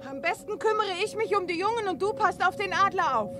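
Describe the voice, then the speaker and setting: Courageous, stubborn young heroine, high-pitched, science fiction audio drama. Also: female voice, brisk, noisy background. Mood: excited. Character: Laura, courageous, headstrong young female adventurer. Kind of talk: 1980s German science fiction radio play acting.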